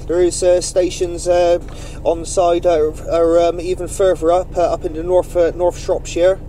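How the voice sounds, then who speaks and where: A man running a CB radio net, chatting informally in radio jargon with halting phrasing. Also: sits high, flat delivery, mellow, a little hiss or hum.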